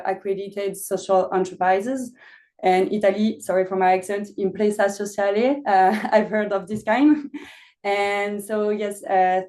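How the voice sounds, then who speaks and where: A woman with a French accent speaking on a webinar panel, pausing often with fillers as she explains her work. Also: mid-range voice, warm tone. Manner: hesitant, many pauses with "uh". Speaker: impact investment officer, panelist. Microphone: video call.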